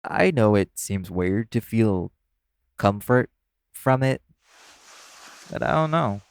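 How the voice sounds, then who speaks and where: An easygoing club performer played by a man talks in a low voice with plenty of gravelly fry, recorded close and dry. He is playful and teasing, speaking casually as if to a coworker in an intimate audio roleplay. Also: animated, takes it slowly, no echo. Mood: friendly, reassuring.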